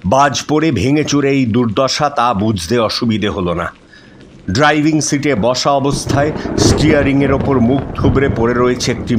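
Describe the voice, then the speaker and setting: A man narrating a horror story in the first person, dramatic and suspenseful, with pauses for effect. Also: mid-range voice, a little hiss or hum. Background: music.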